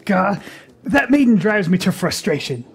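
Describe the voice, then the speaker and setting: A man's voice: sits high, sing-song, normal speed, gravelly, breathy edge, full character voice. A man playing a boastful, lovesick young would-be warrior in a fantasy radio drama, emphatic and eager. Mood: frustrated.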